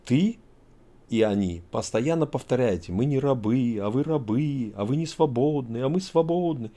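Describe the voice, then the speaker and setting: A man's voice: medium pitch, animated, normal speed, dark tone. A middle-aged man from Tajikistan hosting a heated video-chat argument, lecturing and emphatic, talking over a video call from an indoor room. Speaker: host.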